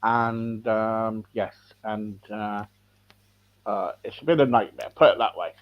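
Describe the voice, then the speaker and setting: A man's voice: on the low side, fairly even intonation, takes it slowly. A man from England hosting a podcast, rambling in a casual, loose way as he greets listeners and introduces his guest. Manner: rambling, full of fillers and restarts. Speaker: podcast host. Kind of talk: casual radio-style interview hosting. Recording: slightly dull, lo-fi sound.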